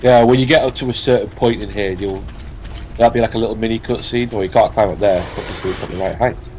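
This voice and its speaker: A man's voice: fairly low pitch, fairly even intonation, quick pace, a little mellow. A British man chatting casually as a let's play commentator, opinionated and sweary. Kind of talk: casual banter over gameplay. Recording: background game noise behind voice. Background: video game sound.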